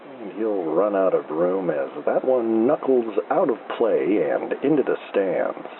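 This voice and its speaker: A man playing a calm, laconic small-town baseball announcer doing unhurried play-by-play on the radio. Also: fairly low pitch, gravelly, full character voice. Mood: calm, drowsy. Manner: calm, laconic, drowsy, unruffled. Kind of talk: slow minor-league baseball radio play-by-play. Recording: dull noisy AM-style baseball radio broadcast.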